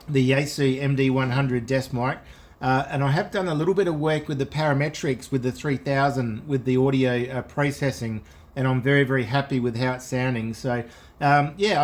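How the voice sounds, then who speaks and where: A man speaking casually as an amateur radio operator, repeating key phrases for clarity. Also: medium pitch. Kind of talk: unscripted two-way ham radio chat.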